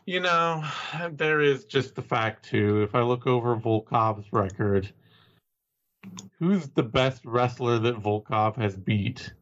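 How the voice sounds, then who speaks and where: A man's voice: medium pitch, slow pace, a bit bright. Easygoing man rambling over lo-fi audio. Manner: easygoing, rambling, self-deprecating. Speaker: podcast co-host. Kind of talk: casual analytical chat. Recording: dull lo-fi audio.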